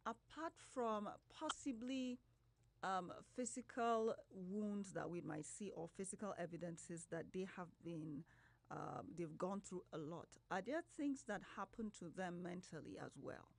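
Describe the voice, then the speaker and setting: A woman's voice: fairly low pitch, a little slow, gravelly. A woman with a Nigerian accent working as a radio host, conducting a live interview. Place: radio studio.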